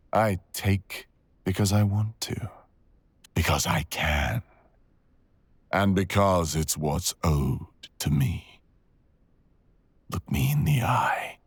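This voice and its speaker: Guy doing a super deep raspy dragon villain, slow mocking drawl, cruel n seductive, asmr style. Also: dry recording, full character voice. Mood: cold, contemptuous. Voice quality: gravelly, breathy, sometimes whispering. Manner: menacing, mocking, teasing drawl. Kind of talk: intimate ASMR audio roleplay.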